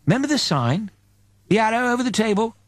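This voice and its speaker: A man's voice: sits high, big pitch swings, fast talker, no room sound, pitched above the performer's own voice. A man voicing a serene, knowing female angel in an audiobook, coaxing with patient, assured phrases.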